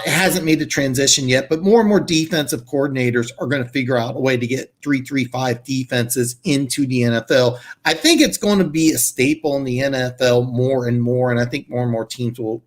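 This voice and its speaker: Hoarse, stuffed-up young man at a mid-range pitch, host of a live sports chat, rambly and eager. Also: fairly even intonation, moderate pace. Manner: rambling, many restarts and fillers, enthusiastic. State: hoarse, congested. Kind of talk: casual live-stream chat answering comments.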